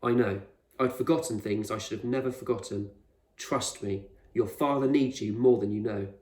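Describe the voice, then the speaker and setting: A man voicing an eccentric, proud male explorer in a storybook, speaking with measured authority and reassurance. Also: on the low side, fairly even intonation, a little mellow, close to an everyday voice. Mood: firm, earnest. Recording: roomy echo, distant microphone.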